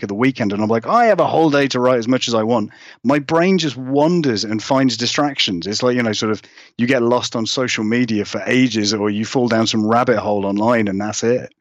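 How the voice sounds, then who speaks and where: A man's voice: medium pitch, brisk, no echo, muffled recording. A British man chatting casually as an interview guest, rambling and acting out remarks as he goes. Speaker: guest horror writer.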